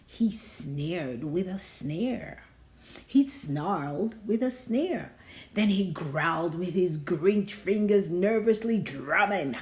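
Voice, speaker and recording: A woman's voice: fairly low pitch, animated, slow, a little mellow. A woman reading a children's storybook aloud, theatrically drawing out and stressing words. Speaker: storybook reader. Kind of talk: expressive children's read-aloud.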